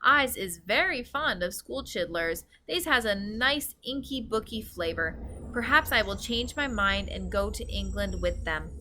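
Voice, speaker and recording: A woman voicing a brutish, scornful male giant in a storybook, shouting in garbled comic grammar. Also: mid-range voice, lively intonation, measured pace, a little hiss or hum. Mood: gloating, scornful.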